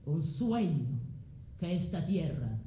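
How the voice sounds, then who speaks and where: A slow, swooping male voice plays a pompous husband excusing himself in a stage drama. He speaks in measured phrases. The recording echoes, with faint noise. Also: on the high side, big pitch swings, warm tone. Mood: self-justifying. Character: Jason, self-justifying husband leaving his wife, pompous. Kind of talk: theatrical monologue in odd mixed dialect. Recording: noisy, echoing stage recording.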